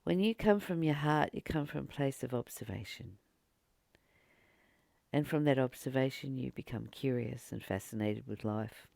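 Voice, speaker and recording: A woman leading a spiritual book study, reading aloud and reflecting in a deliberate, emphatic manner with pauses and repeated phrases. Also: extremely low pitch, vocal fry, mellow, no room sound. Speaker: spiritual teacher and channel host.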